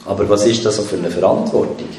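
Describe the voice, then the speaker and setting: A man's voice: fairly low pitch, steady tone, fast, mellow, slight background noise. A man interprets a sermon live, phrase by phrase. He hesitates now and then as he searches for the right words. His voice carries the echo of a large room. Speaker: church interpreter. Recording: echoey hall.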